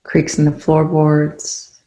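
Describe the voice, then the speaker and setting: A calm, soothing voice leading a guided meditation in gently spaced phrases. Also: medium pitch, slow. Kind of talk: guided meditation, soft instruction. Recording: roomy, echoing indoor sound.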